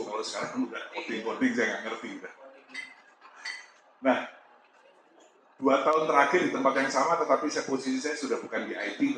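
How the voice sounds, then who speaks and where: Javanese-accented man in an echoey room. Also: lowish voice. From Malang, East Java. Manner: candid, chuckling storyteller. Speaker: business owner giving a talk. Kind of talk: motivational talk to an audience. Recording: echoey room with background noise.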